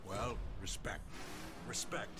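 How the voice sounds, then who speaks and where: A cocky taunting gamer. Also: male voice, on the low side, takes it slowly, heavy background noise. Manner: teasing, taunting.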